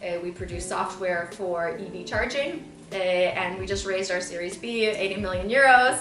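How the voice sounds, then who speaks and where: A woman's voice: mid-range voice, moderate pace, fairly bright tone. A woman in her thirties from Southern California, answering interview questions in a conversational, explanatory way with frequent asides. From born in Bulgaria, grew up in Southern California. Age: 30s. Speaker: interview guest. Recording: roomy echo, distant mic, faint music.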